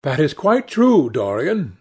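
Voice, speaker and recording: A man voicing a sincere, moralistic gentleman painter in an audiobook, with an earnest, reproachful manner. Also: fairly high pitch, animated, a little slow, voice pushed up. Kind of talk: audiobook character dialogue.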